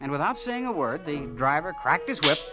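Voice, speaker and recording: An actor playing a naive, eager small-town schoolboy in a 1940s radio drama, chatty and hesitant, on a muffled old broadcast. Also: male voice, a little high, sing-song, fairly quick, loud music behind the voice. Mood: reminiscing.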